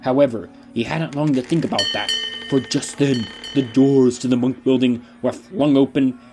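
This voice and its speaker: Guy narrating a kids audio drama, medium pitch, faint music under him. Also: fairly even intonation, moderate pace. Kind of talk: scripted storybook narration.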